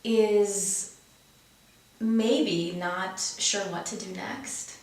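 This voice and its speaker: A woman's voice: medium pitch, fairly even intonation, very slow. A woman guest explaining her wellness program in earnest, casual conversation. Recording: echoey room, distant mic.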